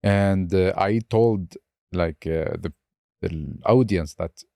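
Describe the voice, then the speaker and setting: A man's voice: sits low, takes it slowly, gravelly, dark tone, no echo, clean studio sound. A man hosting a podcast interview, encouraging his guest with frequent short acknowledgements. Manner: encouraging, many short "mm" and "yeah" backchannels. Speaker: podcast host.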